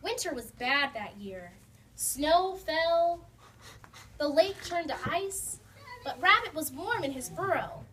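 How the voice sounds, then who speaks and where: A woman narrating a children's puppet show, animated and speaking to a young audience. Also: sits high, slow. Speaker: storyteller. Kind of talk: children's story reading. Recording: distant mic, faint noise.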